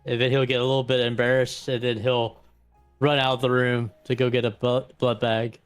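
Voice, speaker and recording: A man casually narrating a tabletop game in a halting, informal way. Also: medium pitch, little pitch movement, clean tone, soft background music.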